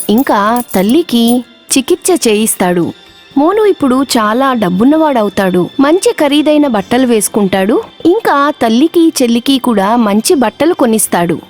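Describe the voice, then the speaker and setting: A woman tells a children's moral tale as a scripted narrator. Her voice is on the high side, and soft music plays behind her. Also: lively intonation, moderate pace. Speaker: storyteller, narrator.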